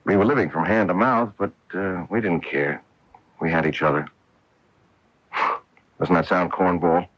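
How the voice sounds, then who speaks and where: An actor playing an earnest, wistful professional golfer in a radio mystery drama, with a husky voice. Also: male voice, low-pitched, measured pace, long pauses, fairly bright tone. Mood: rueful, wistful. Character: wistful, earnest male professional golfer recalling his wife. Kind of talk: radio mystery drama acting, narration and dialogue. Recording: dull lo-fi AM radio broadcast.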